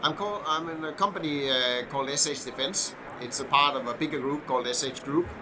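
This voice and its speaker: A middle-aged man from Denmark with a Danish accent, a podcast guest who talks in a halting way with many fillers and restarts. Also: a little high. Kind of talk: casual podcast interview. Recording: noisy background hum.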